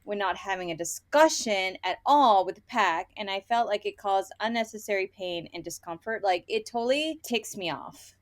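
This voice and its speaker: A woman's voice: mid-range voice, lively intonation, moderate pace, hardly any pauses. A woman podcast host in a casual book chat, ranting bluntly with opinions and swearing, often acting out imagined dialogue.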